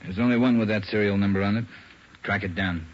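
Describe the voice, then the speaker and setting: Brief: actor as commanding radio-drama police captain, lowish voice, curt, lo-fi vintage sound. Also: male voice, steady tone, fast, natural voice. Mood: businesslike. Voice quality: brusque, commanding. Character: gruff male police captain in charge. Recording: muffled vintage radio broadcast.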